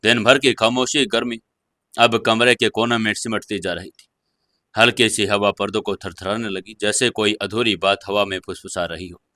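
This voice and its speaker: A male narrator reads a dramatic audio story in a bright, forceful voice of middling pitch. The sound is close and very dry, with no room around it. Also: no room sound.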